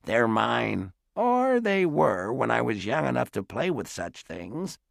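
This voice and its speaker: A man voicing a frail, wistful elderly great-aunt who talks softly and slowly in an audiobook. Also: medium pitch, very animated, gravelly, no echo, clean studio sound, heavily acted. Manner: soft, slow and reminiscing. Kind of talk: audiobook character voice.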